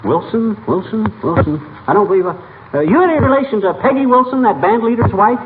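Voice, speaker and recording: A man's voice: high-pitched, expressive, a little fast. A man playing a brash, boastful, folksy middle-aged husband in a 1940s radio sitcom. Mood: bantering. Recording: tinny, very noisy 1940s radio broadcast.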